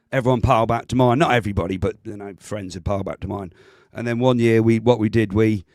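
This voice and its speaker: A man chatting on a video call, rambling and laughing as he talks, clearing his throat as his voice comes back. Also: lowish voice, fairly quick, a little mellow, no echo, clean studio sound. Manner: rambling, laughs often, restarts sentences. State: voice recovering, clears throat often. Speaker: guest with local knowledge. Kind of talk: casual chat on a video call.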